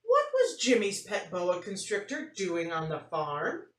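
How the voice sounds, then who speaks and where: A woman's voice: mid-range voice, huge pitch swings, unhurried. A woman voicing a curious, disbelieving mother who questions her child in a picture-book read-aloud. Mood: incredulous. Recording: roomy, faint noise, distant mic.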